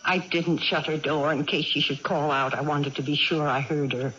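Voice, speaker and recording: A woman's voice: low voice, fairly even intonation, moderate pace, a bit bright. An actress playing an anxious, tender mother in a 1980s radio drama, fretful and fond. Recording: muffled, noisy old radio broadcast.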